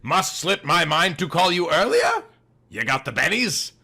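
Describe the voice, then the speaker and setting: A man's voice: on the high side, big pitch swings, measured pace, gravelly, sharp tone, breathy edge, full character voice. A man voicing a wizened old private detective in an audiobook story, folksy, slangy and offhand. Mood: offhand, forgetful. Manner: casual, slangy. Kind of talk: audiobook character voice.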